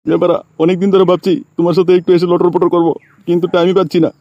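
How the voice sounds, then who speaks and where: A man's voice: sits high, little pitch movement, very fast, dark tone, dry recording, highs cut off. A man voicing a sleazy, wheedling, flirting village man in a comic cartoon. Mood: lecherous. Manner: lewd, wheedling.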